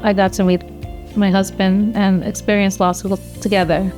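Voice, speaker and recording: A woman in her thirties or early forties from New Jersey, reminiscing conversationally as an interviewee, in a halting way with small restarts. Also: medium pitch, little pitch movement, a little mellow, faint music behind. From grew up in New Jersey. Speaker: law school alumna, interviewee.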